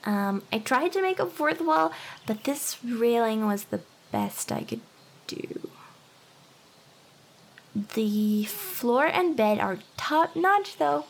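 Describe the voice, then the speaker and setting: Woman voicing a young anime harpy, high breathy voice, flustered and eager, slow halting delivery, ASMR roleplay. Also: sing-song, slight background noise, exaggerated voice. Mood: proud, eager. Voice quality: breathy, often slipping into whispers. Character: hyper, nervous young female harpy courting a human.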